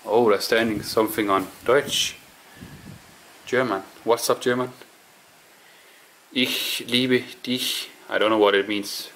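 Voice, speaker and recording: A man with a low voice mutters his way through an abandoned farmhouse, pausing and thinking out loud. He speaks slowly and haltingly, in imperfect English. Faint hiss sits under the camera audio. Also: fairly even intonation, rough recording. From Denmark. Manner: musing, halting, talks to himself while exploring. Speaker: urban explorer. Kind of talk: casual walkthrough narration. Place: abandoned house and barn, indoors and outdoors.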